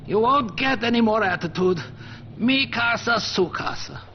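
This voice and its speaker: A resentful, cowering old man from a video game village, nervy and abrasive in a raised pitch. The sound is a bit muffled. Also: animated, unhurried. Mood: frightened, resentful. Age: older. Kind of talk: cinematic video game acting. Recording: muffled game capture, faint noise.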